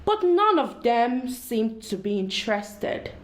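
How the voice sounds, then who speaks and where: High, animated woman telling a children's story. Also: slow pace. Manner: expressive, addresses young listeners directly with questions.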